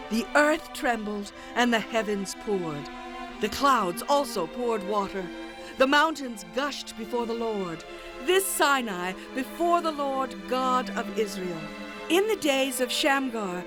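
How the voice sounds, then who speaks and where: Commanding female prophet, solemn, exultant, measured slow delivery, biblical audio drama, clear music behind. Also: on the high side. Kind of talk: biblical radio-theatre dramatization, declamatory verse.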